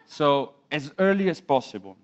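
A man's voice: fairly high pitch, moderate pace. A man in his thirties from Serbia with a Serbian accent, giving an informal, emphatic talk as a conference speaker. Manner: animated, emphatic, rhetorical questions. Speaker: conference speaker on film sound. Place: dim indoor conference room, on stage. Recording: dull lo-fi event recording with music.

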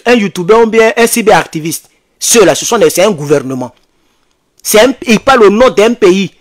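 An Ivorian man in his thirties speaking as an activist host, giving impassioned, urgent commentary to his online followers and repeating phrases for emphasis. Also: sits high. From Ivory Coast. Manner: emphatic, repetitive, urgent, animated. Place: small indoor home studio. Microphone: desk microphone close in front.

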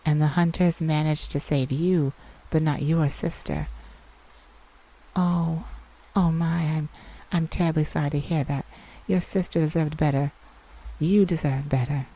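A woman playing a caring, teasing vampire in an intimate ASMR roleplay, speaking gently and soothingly with sighs and pauses. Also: sits low, steady tone, rough recording. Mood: sorrowful, tender. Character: caring, teasing, sympathetic vampire confiding in a hunter. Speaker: audio roleplay performer.

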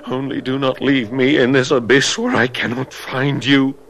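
A man's voice: mid-range voice, steady tone, normal speed, gravelly, fairly bright tone, slight background noise. An actor playing a cruel, brooding, passionate man in a theatrical, menacing and anguished radio drama style. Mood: anguished rage. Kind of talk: 1970s radio drama acting.

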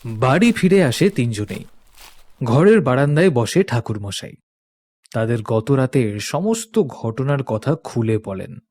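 A man's voice: mid-range voice, big pitch swings, a little slow, a little mellow. A man narrating a cartoon story in a scripted, dramatic storytelling style. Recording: prominent music under the voice.

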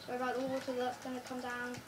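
A girl chatting casually during a building game, giving quick instructions to her partner. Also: fairly high pitch, completely flat delivery. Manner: directing, gives quick instructions. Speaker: co-host playing a building game. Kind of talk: casual gameplay chat. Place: living room, in front of a TV. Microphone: phone camera filming the TV screen. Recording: far-off phone audio with room noise.

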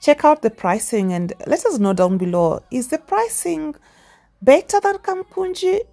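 Young woman vlogger, lively pitch, chatty voice-over that keeps asking viewers for their opinion. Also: moderate pace, vocal fry. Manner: chatty, asks viewers questions. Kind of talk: casual voice-over for a shopping tour.

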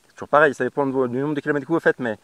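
A man's voice: medium pitch, fairly even intonation, rapid-fire, fairly bright tone, no room sound. A man in his thirties from France, a motorcycle travel vlogger, talking casually to the camera outdoors on a grassy roadside through a lapel mic, blunt and slangy with plenty of fillers. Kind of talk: casual how-to talk to camera. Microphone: lapel mic on riding jacket.

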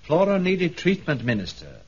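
An actor playing an earnest, educated investigator, articulate and pointed, in a 1970s radio drama. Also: male voice, on the high side, huge pitch swings, normal speed, slightly rough, heavily acted. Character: earnest, educated investigator, polite but accusing. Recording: dull 1970s radio recording.

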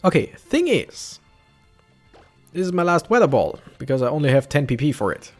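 A young man chats through his playthrough, animated and self-correcting. His voice sits a little high and swings widely in pitch. Faint game music plays behind him. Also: moderate pace. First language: German. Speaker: let's play streamer. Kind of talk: casual gameplay commentary.

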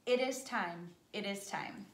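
Storybook bear, patient male guide, slow and steady, voiced by a woman, echoey room. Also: mid-range voice, recorded from a distance, a little hiss or hum, pitched below the performer's own voice, natural voice. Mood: calm. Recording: roomy echo.

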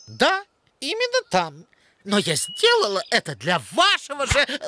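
A woman's voice: fairly high pitch, huge pitch swings, unhurried, pushed and bright, full character voice. A woman voicing a motherly, instructive female owl in an educational cartoon, lecturing expressively. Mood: mock regretful. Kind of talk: animated educational cartoon acting. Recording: music behind the voice.

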